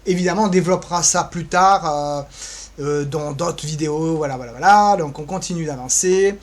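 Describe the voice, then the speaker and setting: A man's voice: on the high side, normal speed. A man giving an informal website walkthrough, hesitant and rambling, with playful asides. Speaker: presenter.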